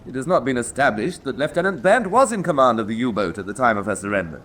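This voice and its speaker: An actor playing a firm military defense officer, formal and clipped, in a radio drama. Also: male voice, mid-range voice, expressive, fast talker, a bit bright, slight background noise, lightly acted. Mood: objecting.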